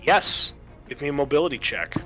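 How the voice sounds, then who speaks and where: A man's voice: on the high side, very animated, a little fast, pushed and bright, faint music behind. A man acting as game master, running a tabletop roleplaying session for players.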